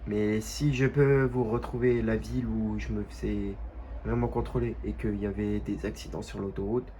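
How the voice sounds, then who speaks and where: A man's voice: lowish voice, steady tone, warm tone, slight background noise. Nasal man with a cold. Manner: halting, many fillers and restarts. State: stuffy nose from a cold. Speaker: gaming youtuber. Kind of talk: casual gameplay commentary. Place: at home.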